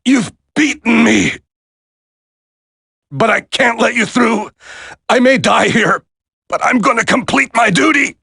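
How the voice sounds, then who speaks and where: A man's voice: very high-pitched, unhurried, gravelly, pauses often, pushed and bright, slightly rough, dry recording, studio quality, full character voice. A man voicing a smug, condescending villain in a video game, drawling his mocking taunts. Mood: defiant, desperate.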